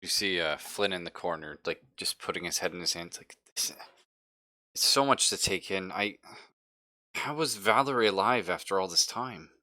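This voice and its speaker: A man casually talking through his character in a tabletop roleplaying game, with hesitant, halting phrasing. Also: low voice, bright, forceful tone, dry recording. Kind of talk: casual chat, narrating a character.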